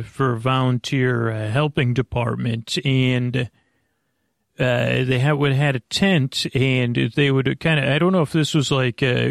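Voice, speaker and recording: Creaky-voiced American man, rambling and halting. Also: mid-range voice, dry recording, clean studio sound. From United States. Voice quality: creaky, gravelly. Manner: meandering, hesitant, halting; reassuring. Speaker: sleep podcast host. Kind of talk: rambling casual monologue.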